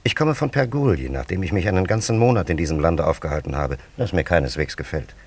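Ancient time traveler in a radio play, deep husky voice, very formal and grand, loves his pleasures. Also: lively intonation, quick pace, exaggerated voice. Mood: lofty, self-satisfied. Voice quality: gravelly, husky. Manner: lofty, formal, ornate diction. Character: dignified, pleasure-loving male time traveller from an ancient land. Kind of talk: German radio drama acting.

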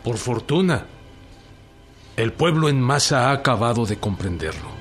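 An actor playing an indignant revolutionary leader with a Mexican accent, declaiming a manifesto in an emphatic radio drama style. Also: male voice, mid-range voice, sing-song, normal speed, close to an everyday voice. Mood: indignant, accusing. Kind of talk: dramatized proclamation in a radio docudrama. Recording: music behind the voice. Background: prominent music.

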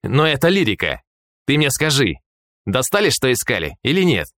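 Narrator putting on a loud, lively adventurer, bouncy pitch, dry mic. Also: male voice, moderate pace, dry recording. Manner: raised, animated, excited character voice.

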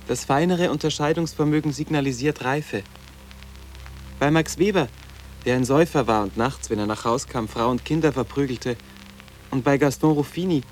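A male actor as a coolly defiant, bookish schoolboy in a radio play. He talks in clipped, precocious, lecturing phrases at a medium pitch, with music in the recording. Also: darkish tone, close to an everyday voice. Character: sarcastic, intellectual teenage schoolboy, coolly defiant. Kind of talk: literary radio drama dialogue.

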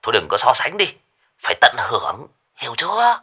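A man's voice: medium pitch, huge pitch swings, moderate pace, gravelly, fairly bright tone, exaggerated voice. A man playing a foul-mouthed, bitter old-timer. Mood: scolding. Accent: Northern Vietnamese. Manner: swearing, ranting and scolding. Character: cranky middle-aged male gambler, foul-mouthed and bitter.

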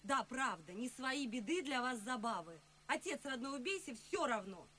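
A woman's voice: fairly high pitch, expressive, moderate pace, pushed and bright, a little hiss or hum. An actress playing a proud, distraught, reproachful young noblewoman in a verse radio drama. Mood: cold reproach.

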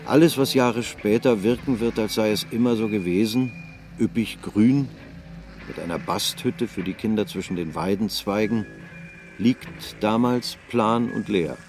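A man with a fairly low voice narrates a literary radio play, measured and unhurried. Faint music sits under his voice. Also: a little mellow.

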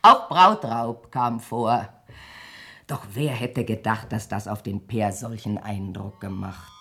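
An actress playing a worn, doting old peasant mother, husky and lamenting, declaiming in a radio verse drama. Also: extremely low pitch, slow pace, sharp tone, a little hiss or hum, heavily acted. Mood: wistful, rueful. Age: older.

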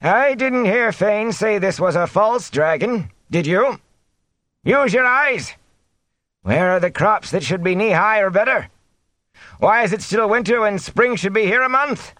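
A sour old thatcher as a man narrating acts him out speaks up high and swoops with indignation. He is stubborn and quarrelsome, a defiant village elder from a fantasy storybook. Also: lively intonation, a little slow, no room sound, exaggerated voice. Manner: sour, loud, challenging.

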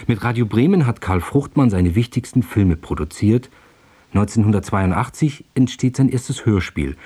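A male radio announcer giving a formal, scripted introduction to a play. His voice is on the low side, mellow and husky.

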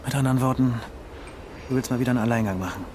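A man's voice: medium pitch, very fast, noisy background, lightly acted. An actor playing a wary, exasperated policeman in a radio drama, agitated and sarcastic in his delivery. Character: wary, exasperated policeman, anxious blunt partner.

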